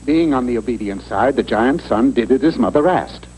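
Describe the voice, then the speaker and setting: A man's voice: medium pitch, expressive, moderate pace, a little mellow, natural voice. A man playing a wry, urbane storybook narrator, delivering comic scripted narration in a mock-serious manner. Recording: faintly noisy old TV broadcast tape.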